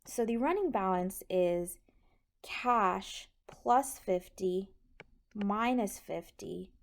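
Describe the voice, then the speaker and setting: A woman's voice: mid-range voice, animated, takes it slowly. A woman instructor patiently explaining a tutorial step by step, often checking that the listener is following. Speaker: accounting instructor.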